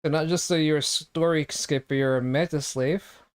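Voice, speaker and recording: A man's voice: a little high, steady tone, normal speed. A young man streaming a game, chatting casually and teasing his chat with jokes. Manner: joking, teasing, reacting to chat.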